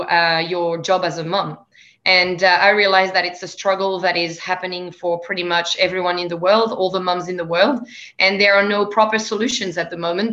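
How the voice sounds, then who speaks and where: A woman with a French accent talks through a casual interview, pausing often with ums and uhs. Her voice is bright and forceful, and the recording sounds slightly dull and lo-fi. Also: lowish voice. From France. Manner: hesitant, many ums and uhs. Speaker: guest.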